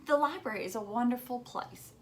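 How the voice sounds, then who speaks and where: A woman's voice: fairly high pitch, brisk, slight background noise, natural voice. A woman voicing a friendly, earnest male library cat in a children's storybook, with wide-eyed exclamations. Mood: earnest, determined.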